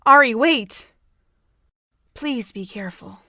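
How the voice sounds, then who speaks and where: A woman's voice: a little high, slow pace, sharp tone, no echo. A woman playing an anxious, caring and earnest young friend in a fan audio drama. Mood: worried.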